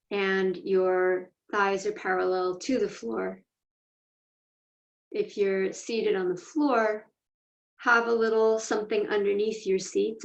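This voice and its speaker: A woman leads a guided meditation at a medium pitch. She speaks deliberately, leaving space between short phrases. The recording is dull and roomy, with her voice set back from the microphone. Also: creaky. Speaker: meditation teacher. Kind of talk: guided meditation, slow instruction. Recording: echoey room, distant mic, dull sound.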